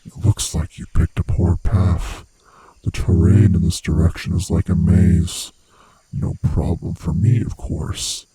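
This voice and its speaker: A man voicing a sinister, gloating monster who hunts human prey, with a husky, rasping voice and a slow, taunting manner, in a horror ASMR roleplay. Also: level tone, warm tone, no echo, full character voice. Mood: gleeful, taunting. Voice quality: husky, breathy, rasping low voice. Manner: slow, menacing, theatrically taunting.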